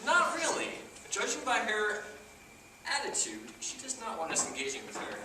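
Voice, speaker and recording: A man's voice: high-pitched, very animated, normal speed, pushed and bright, exaggerated voice. A young man acting an awkward, analytical know-it-all in an amateur stage comedy, speaking formally and wordily. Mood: lecturing, analytical. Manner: pedantic, formal, wordy. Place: theater stage, indoors. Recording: echoey theater, distant mic, clearly noisy.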